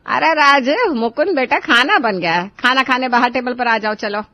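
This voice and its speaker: Woman acting exasperated, high-voiced Indian-story mother-in-law. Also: normal speed, sharp tone, no echo. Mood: calling out. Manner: scolding, emphatic. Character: exasperated mother-in-law scolding her lazy grown sons.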